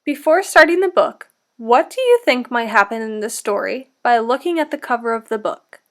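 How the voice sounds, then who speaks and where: A woman teacher giving an interactive storybook read-aloud for children, speaking in an instructive, deliberate way. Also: on the high side, lively intonation.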